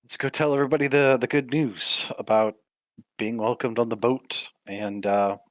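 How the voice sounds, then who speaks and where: A man playing in a tabletop game, joking and talking in character with a sarcastic, expressive manner. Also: medium pitch, normal speed, no echo, clean studio sound. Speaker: player. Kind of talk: in-character tabletop play and banter.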